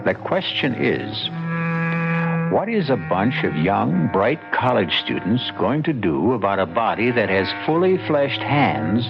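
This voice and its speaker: A man's voice: lowish voice, very animated, unhurried, gravelly. A man hosting a radio mystery show with grave, theatrical narration. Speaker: radio drama host. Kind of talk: scripted narration. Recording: dull old radio broadcast with music.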